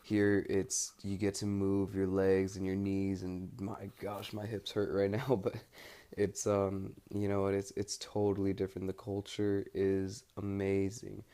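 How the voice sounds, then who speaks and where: A young American man recording a casual, rambling spoken diary, pausing often mid-thought as he searches for words. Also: low-pitched, monotone, mellow, clean tone. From United States. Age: young adult. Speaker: study-abroad student keeping an audio log.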